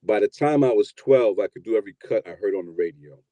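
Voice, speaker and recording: A man in his fifties from New York telling his story in a relaxed, rambling interview, often repeating words as he starts. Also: fairly low pitch, fast, no room sound. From New York, USA. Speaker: guest, producer and DJ.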